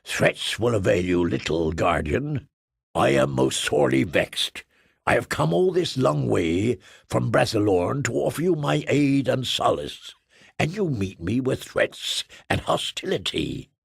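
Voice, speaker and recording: A man reading as a slow, pompous giant trader. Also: mid-range voice, big pitch swings, creaky, slightly rough, no echo, studio quality, heavily acted. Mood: stately. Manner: slow, stately, pompous phrasing. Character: huge ponderous male space trader, formal and self-pitying. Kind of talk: audiobook character voice.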